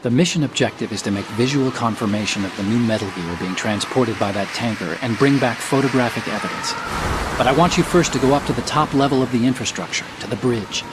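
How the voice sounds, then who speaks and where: A talkative, self-assured hacker, played by a man guiding an operative in a video game cutscene. His voice is ordinary in pitch and he briefs in steady, explanatory runs, brushing off worries. Heavy rain roars underneath him. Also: quick pace, noisy background, natural voice. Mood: briefing. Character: confident male hacker and mission support, slightly smug. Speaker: mission support over radio.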